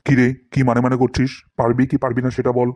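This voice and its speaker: A man's voice: mid-range voice, completely flat delivery, fast talker, darkish tone, no room sound, lightly acted. A man voicing a strict, grumbling history teacher in a comedy cartoon, with a bossy, scolding manner. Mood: suspicious, grudging.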